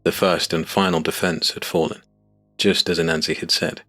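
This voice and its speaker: A man narrates a dramatic first-person story in a low voice. His delivery is scripted, grave and measured, with soft music playing underneath. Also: flat delivery.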